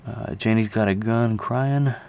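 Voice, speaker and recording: A man giving a casual, unscripted show-and-tell to a camera, talking haltingly and thinking aloud as he goes. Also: on the low side, a little mellow. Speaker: video creator showing a music collection. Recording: clear background noise, amateur camera audio.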